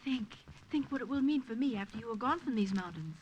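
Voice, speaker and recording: High, fiery young Italian girl. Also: lively intonation, a little fast, darkish tone, husky, lo-fi sound. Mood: pleading, insistent. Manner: eager, pleading, impish. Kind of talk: 1950s radio drama acting. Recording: noisy old radio recording.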